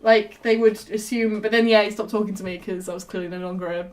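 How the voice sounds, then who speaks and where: A woman chatting as a podcast guest, telling stories with lively acted-out dialogue. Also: mid-range voice, fast talker, a little hiss or hum. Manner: animated storyteller who acts out quoted dialogue. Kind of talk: casual chat.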